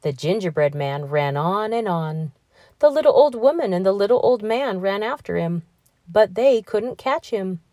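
A woman narrating a children's story as an expressive read-aloud host. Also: medium pitch, moderate pace, dry recording, studio quality. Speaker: storybook reader, channel host.

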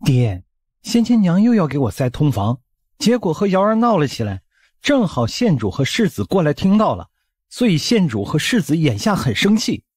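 A man's voice: a little high, big pitch swings, moderate pace, darkish tone, husky, no echo, clean studio sound, voice pushed up. A man voicing a timid, anxious young husband in an audiobook, speaking in a subdued, earnest way. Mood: hushed, anxious. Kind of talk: audiobook character acting.

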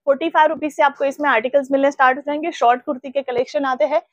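A woman in her thirties giving a persuasive sales pitch on a tour of a large clothing store, listing her stock one item after another. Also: fairly even intonation, very fast, no echo, dull audio. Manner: persuasive, listing wares steadily. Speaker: shop representative.

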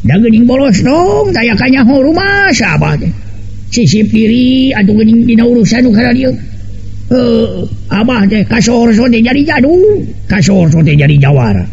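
A man voicing a humbled, gentle old village strongman who repents, in a Sundanese folk story. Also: very high voice, very animated, moderate pace, gravelly, lo-fi sound, pitched above the performer's own voice, exaggerated voice. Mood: remorseful.

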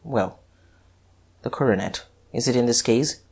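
A suspicious storybook detective, as performed by a narrator, a man with a husky voice. He speaks haltingly and mutters, shaken yet stubborn. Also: mid-range voice, sing-song. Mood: nervous.

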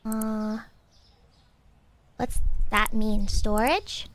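A woman's voice: medium pitch, very slow, fairly bright tone. A young woman streaming a game, chatting casually with her viewers, playful and easily exasperated. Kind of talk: casual live-stream gameplay chat.

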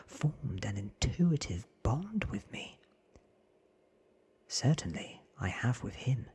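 A man in his thirties gives a hushed ASMR storybook reading. His whisper is very breathy and husky, delivered slowly and deliberately right up against the microphone. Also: medium pitch. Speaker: reader on a whisper channel. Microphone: close microphone in front of the mouth.

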